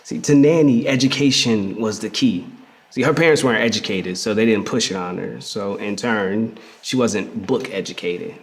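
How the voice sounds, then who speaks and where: An actor playing a reflective man in a theatrical first-person memoir monologue, telling it conversationally with emphasis and pauses. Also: on the low side, slight background noise, close to an everyday voice. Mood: fond, playful. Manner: conversational, emphatic storytelling with pauses and repeated words. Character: reflective queer man fondly recalling his hustling grandmother. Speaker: actor in a dramatic reading of a memoir. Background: music.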